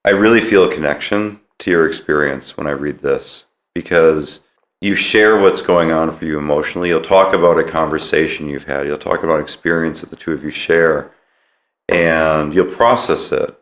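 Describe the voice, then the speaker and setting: A man's voice: very deep voice, normal speed, a bit bright. A man hosting a casual podcast interview, attentive and supportive as he talks with his guest. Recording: roomy, echoing sound.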